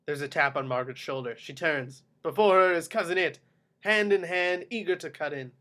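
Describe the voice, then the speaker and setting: A man's voice: fairly high pitch, normal speed, pushed and bright. A man reading screenplay action descriptions aloud as narrator in a table read.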